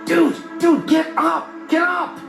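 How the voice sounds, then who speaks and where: Man putting on alarmed teen boy, pitched way up, urgent, story dialogue, echo. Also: steady tone, slow, gravelly, recorded from a distance, heavily acted. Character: excitable teenage boy camper, urgent and alarmed. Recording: roomy echo, music behind.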